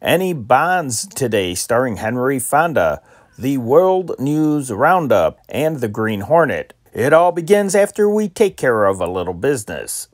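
A man's voice: medium pitch, sing-song, measured pace, dry recording, clean studio sound. An American man hosting an old-time radio programme, introducing it in an informal, prepared style. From Chicago area, United States.

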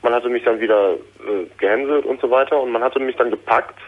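A man's voice: medium pitch, fairly quick. A man in his twenties calling a radio show, speaking hesitantly with many fillers and restarts. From Germany. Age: 20s. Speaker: caller. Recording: phone line.

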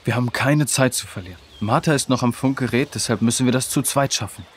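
A man acting as a brave, responsible young man in a children's radio play. He gives decisive instructions in a medium-pitched voice, with faint ambient noise around him. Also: fast talker, natural voice. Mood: urgent, decisive.

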